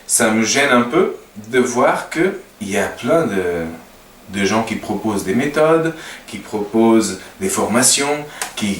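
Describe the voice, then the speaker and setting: A man with a Portuguese accent speaking French as a second language, introducing himself informally and hesitantly, searching for his words. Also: fairly low pitch. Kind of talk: informal unscripted introduction to camera. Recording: roomy echo, distant mic, faint noise.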